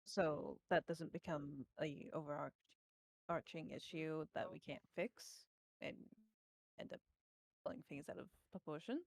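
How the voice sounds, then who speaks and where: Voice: medium pitch, a little slow, creaky, no echo. A player in a tabletop roleplaying game, casually talking through plans with the group.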